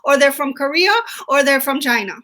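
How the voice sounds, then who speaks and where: A woman's voice: high-pitched, sharp tone. A woman hosting a panel conversation, eager and full of questions. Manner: eager, questioning, quick responses. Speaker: host.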